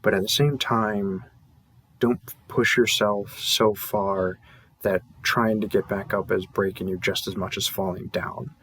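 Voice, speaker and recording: A man's voice: fairly low pitch, fairly even intonation, a little slow, fairly bright tone, slightly rough. A man who makes audio roleplays, talking casually and off the cuff to listeners in an earnest, hesitant way with trailing asides. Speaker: audio roleplay creator and performer. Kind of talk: casual, unscripted thank-you to listeners.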